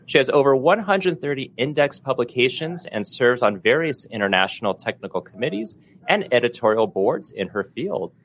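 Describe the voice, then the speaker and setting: A man's voice: medium pitch, fairly bright tone, slight background noise. A man hosting a podcast, interviewing a guest. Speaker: podcast host.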